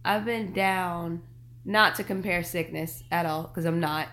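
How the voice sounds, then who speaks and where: A woman co-hosting and producing a casual podcast, raspy from a cold and speaking in a dry, wry way. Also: lowish voice, a little slow, fairly bright tone, reverberant. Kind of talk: casual chat. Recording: faint background hum.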